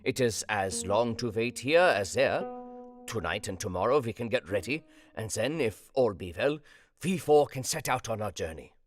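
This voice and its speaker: Radio drama: male actor as commanding elderly foreign professor, low and very animated, formal quaint phrasing, music behind. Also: moderate pace, close to an everyday voice. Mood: resolute, wry. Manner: formal, quaint inverted syntax. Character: commanding older male foreign professor, eager vampire hunter. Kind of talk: radio play acting.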